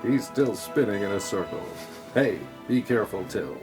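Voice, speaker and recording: A man with a fairly low voice narrates a parody audio play. His delivery is scripted but wry and mocking, with faint music behind him. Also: animated, moderate pace.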